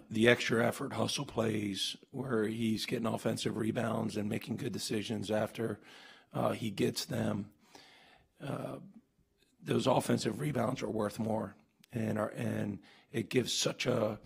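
A man's voice: lowish voice, vocal fry, breathy edge. A man in his forties, a basketball coach, answering reporters' questions after a game in earnest, emphatic bursts full of restarts and fillers, seated at a table mic in a press room. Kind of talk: postgame press conference. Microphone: table mic on stand.

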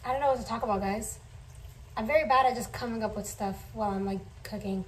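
Young woman rambling thru a cooking vlog, kinda high voice, phone sitting back on the counter, noisy. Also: fast. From Somali family. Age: young adult. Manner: chatty, rambling, filler-heavy. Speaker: vlogger. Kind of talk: casual cooking vlog chat. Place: home kitchen, indoors. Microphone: camera on counter, distant. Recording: distant camera mic, noisy.

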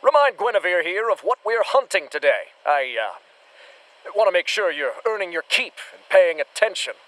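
Pompous American monster hunter, dim, boastful, high-pitched, very animated, theatrical radio serial delivery. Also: male voice, moderate pace, no room sound, rough recording. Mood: self-important. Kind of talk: retro radio serial acting.

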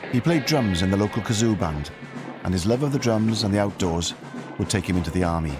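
A very deep-voiced man narrates a documentary with calm, measured pacing. Clear music sits under his voice throughout. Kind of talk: scripted narration.

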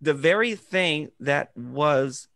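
A man's voice: fairly high pitch, slow, gravelly, no room sound. A middle-aged man, an educator co-hosting a podcast, telling an encouraging story in an earnest, conversational way from a small studio mic. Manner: earnest, encouraging, animated hand gestures. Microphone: studio mic on boom arm with headphones.

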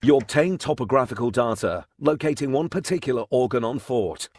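An actor playing an earnest, easily offended resistance member in a video game, with a husky, laboured voice. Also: male voice, mid-range voice, lively intonation, fairly quick, a little mellow, no room sound. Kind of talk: video game cutscene voice acting.

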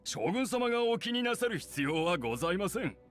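Blustering old clan lord, high-pitched. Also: male voice, very animated, a little fast, a bit bright, soft background music. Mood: defensive, obsequious. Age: older. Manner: blustering, deferential, formal. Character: scheming, indignant elderly clan head, obsequious to his ruler. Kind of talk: anime game character acting.

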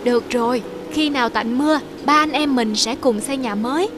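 Woman voicing reassuring big-brother rabbit, high-pitched. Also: fairly quick, background noise, close to an everyday voice. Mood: gently instructive. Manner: gently instructive, reassuring. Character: caring eldest brother rabbit, sensible and reassuring. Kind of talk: cartoon dubbing.

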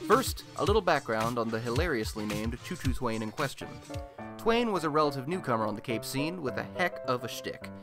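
Man acting as chatty male superhero-city radio host, wry, colloquial, theatrical, mid-low everyday pitch, music playing behind. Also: sing-song, fairly quick. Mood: dramatic, amused storytelling. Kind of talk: scripted comedic storytelling in radio play.

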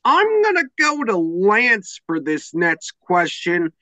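A man's voice: high-pitched, huge pitch swings, slow, pushed and bright, dry recording. A man hosting a podcast, putting interview questions to his guests.

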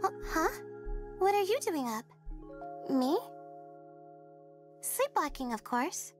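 A woman's voice: high-pitched, big pitch swings, slow pace, heavily acted. A woman voicing a sleepy, anxious young female student in an anime-style video game. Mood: calm, self-assured. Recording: game trailer with music over it.

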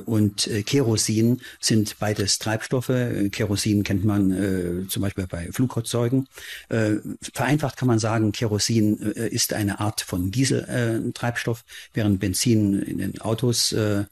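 A male expert explains something technical off the cuff in a fairly low, husky voice. He is hesitant and breaks his sentences with frequent filled pauses. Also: darkish tone. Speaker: expert interviewee.

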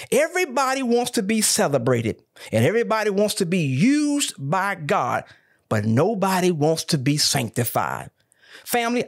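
A man preaching from the pulpit, his voice slightly husky and rough at the edges. His pitch climbs and swoops as he stacks up emphatic points and calls out to his listeners. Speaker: pastor. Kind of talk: sermon.

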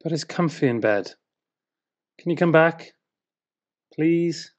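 A man's voice: fairly high pitch, expressive, slow, highs cut off. A British man playing a grumpy, sleep-deprived, sceptical husband in a dramatic verse reading, grumbling with sarcasm. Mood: sleepy, irritable.

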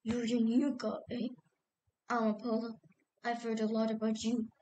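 A woman's voice: fairly high pitch, completely flat delivery, moderate pace, mellow, natural voice. A woman voicing a cocky, teasing popular boy animal in a cartoon audition, casual and confident. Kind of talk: character audition lines.

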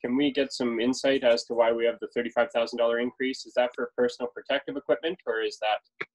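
A man's voice: medium pitch, fairly even intonation, quick pace, low-quality recording. A man speaking politely and precisely as a councillor in a formal online meeting. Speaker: town councillor. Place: remote video call from a room. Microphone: video call.

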